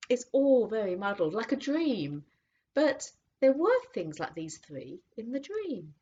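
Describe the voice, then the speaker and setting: A woman voicing a slow, muddled old horse. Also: a little high, sing-song, warm tone, husky, voice pushed up, full character voice. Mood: musing. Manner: slow and thoughtful. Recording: dull lo-fi audiobook recording.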